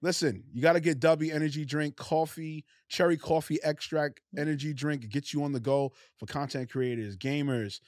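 A man's voice: a little high, normal speed, hardly any pauses, darkish tone, no echo, studio quality. A man hosting a podcast, talking in a hyped, loose, slangy way with many restarts. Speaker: podcast host. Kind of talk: casual interview chat.

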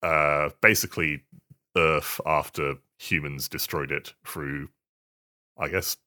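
Very deep-voiced, bright, halting man. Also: steady tone. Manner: hesitant, halting delivery with repeated words. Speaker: podcast co-host. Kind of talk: casual video game podcast chat.

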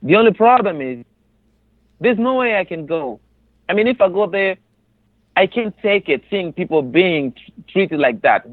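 A man's voice: a little high, no echo. Congolese man, thin phone-like sound. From Democratic Republic of the Congo, raised in a refugee camp in Tanzania. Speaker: interview guest, resettled refugee. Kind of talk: personal testimony in an interview.

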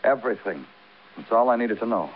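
An actor playing a world-weary American police detective, terse and brooding, in old-time radio crime drama style. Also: male voice, fairly low pitch, expressive, brisk, natural voice. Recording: muffled vintage radio broadcast, music behind.

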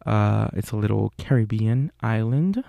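Slow, gravelly young man with a Puerto Rican accent. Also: lowish voice, expressive, dark tone, dry recording, dull audio. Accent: Puerto Rican Spanish. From Puerto Rico. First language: Spanish. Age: young adult. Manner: earnest, with frequent fillers and self-corrections. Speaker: podcast host. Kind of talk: solo podcast monologue.

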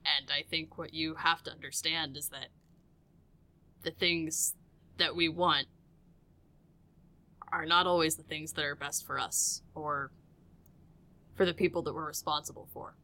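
A woman playing a male park director in an audio drama, measured, compassionate and gently firm. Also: sits low, fairly even intonation, full character voice. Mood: grave, compassionate. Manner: deliberate, sighing, gently firm. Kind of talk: scripted audio drama dialogue.

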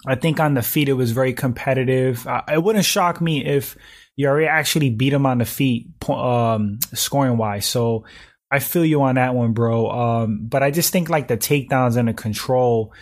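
A slightly hoarse man in his thirties, hosting a livestream and chatting casually while reading out viewer comments, often clearing his throat. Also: mid-range voice, moderate pace. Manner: relaxed slangy talk, frequent throat clearing. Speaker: livestream host reading chat. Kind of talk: casual chat.

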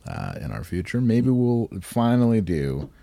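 A man's voice: fairly low pitch, sing-song, measured pace, vocal fry, warm tone, no room sound. A man co-hosting a podcast in casual chat, joking, laughing often and swearing. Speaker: podcast co-host.

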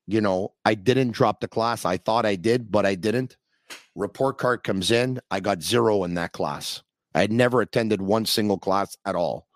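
A man hosting a sports call-in podcast, chatting in a rambling, animated way. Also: on the low side, no echo, studio quality. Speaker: podcast host. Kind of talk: casual call-in show chat.

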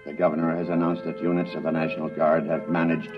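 Deep, grave radio newsman. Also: level tone, fast, over music. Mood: urgent. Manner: formal, dramatic bulletin delivery. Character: grave, urgent radio news announcer. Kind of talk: old-time radio drama acting as live reporting. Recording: dull, rough vintage radio recording.

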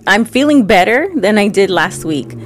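A woman's voice: medium pitch, big pitch swings, normal speed, slight background noise. Woman, podcast host, stuffed-up nose, rambling casual chat, breath close on the mic. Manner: chatty, loose and rambling. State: stuffed up from allergies. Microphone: close mic, breathing audible.

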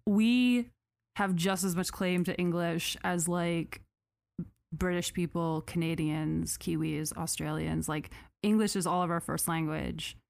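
A young American woman co-hosting a casual podcast, chatty and jokey, full of "like" fillers and quick asides. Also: lowish voice, steady tone, creaky, a little mellow, no room sound. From United States. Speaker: podcast co-host.

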